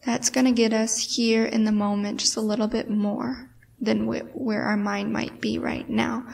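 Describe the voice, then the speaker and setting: A woman guiding a meditation in a measured way with pauses, her breathy, creaky voice often trailing toward a whisper. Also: fairly high pitch, little pitch movement, darkish tone, distant mic. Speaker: meditation guide. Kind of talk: guided meditation.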